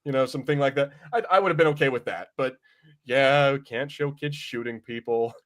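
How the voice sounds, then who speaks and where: A man speaks as a podcast host in a loose review chat. His delivery is informal and rambling, peppered with swearing, at an ordinary middle pitch. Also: a little fast.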